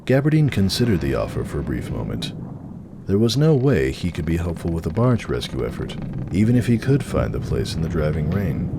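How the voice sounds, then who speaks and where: A man narrating a story dramatically from a script. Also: deep voice. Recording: clearly noisy, storm ambience behind.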